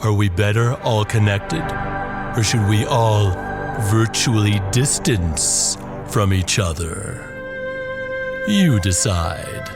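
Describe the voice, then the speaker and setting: A man with a low voice narrates horror over a music bed. His delivery is very slow and deliberate, leaning on ominous emphasis like a dramatic storyteller. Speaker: narrator and host of a horror podcast. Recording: music under the voice.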